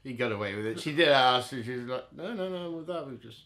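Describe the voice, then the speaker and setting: A man's voice: medium pitch, expressive, fast talker, a bit bright. A middle-aged man telling casual anecdotes as a bodybuilder guest in an interview, candid, jokey and self-deprecating.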